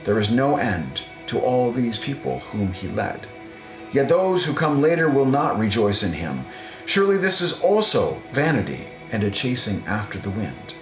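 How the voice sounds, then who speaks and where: Guy reading bible audiobook, solemn and measured, mid pitch, echoey room w music under it. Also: sing-song. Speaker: audiobook narrator. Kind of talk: scripted scripture reading. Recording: roomy echo, music underneath.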